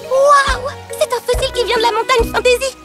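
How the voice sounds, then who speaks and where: An earnest young cartoon girl with a very high, youthful voice. She speaks with emphatic, excitable expression over children's music. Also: a little fast. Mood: delighted. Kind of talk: animated children's cartoon dub.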